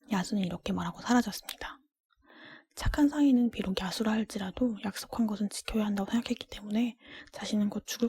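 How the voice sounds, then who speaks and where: A woman whispers a fairy tale in an ASMR style. Her voice is soft and dark-toned, almost entirely breath. She narrates gently, keeping everything hushed. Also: medium pitch, husky. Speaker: storybook reader.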